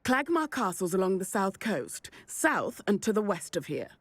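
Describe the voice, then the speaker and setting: An actress playing an indignant, fretful village shopkeeper in a video game, speaking in a formal, emphatic way. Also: female voice, medium pitch, very animated, moderate pace, dry recording. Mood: wary, emphatic. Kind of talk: video game cutscene acting.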